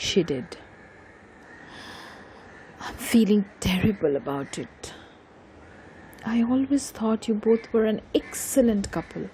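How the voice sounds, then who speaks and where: A woman with an Indian Bengali accent playing a soft-hearted, dutiful young homemaker in an audio drama, speaking earnestly and plaintively. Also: mid-range voice, very animated, measured pace, long pauses, a little hiss or hum, low-quality recording. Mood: apologetic, wistful. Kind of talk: amateur audio drama acting.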